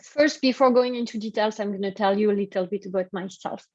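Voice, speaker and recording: A woman's voice: mid-range voice, brisk, dry recording, lo-fi sound. A woman from France with a French accent, presenting a business workshop over a video call, hesitant and full of fillers. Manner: hesitant, many fillers and restarts. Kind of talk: webinar presentation.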